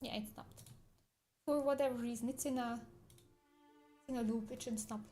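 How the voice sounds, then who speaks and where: A woman's voice: a little high, fairly even intonation, moderate pace, dark tone, faint music behind. A woman, chatting casually on a livestream, reading viewer comments aloud and telling rambling stories with frequent laughs. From Switzerland. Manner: chatty, rambling storyteller who laughs often.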